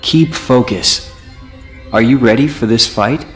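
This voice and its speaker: An actor playing a calm, wry young male companion in video game cutscenes, speaking in a measured, dry, serious way. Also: mid-range voice, natural voice. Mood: urgent. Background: faint game music.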